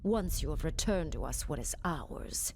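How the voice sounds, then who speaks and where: A woman's voice: deep voice, lively intonation, normal speed, a little mellow. A woman playing a cold, imperious alien warlord in a video game, menacing and stately in delivery. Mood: cold menace. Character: cold, imperious female alien warlord serving a dark master. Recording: music behind the voice.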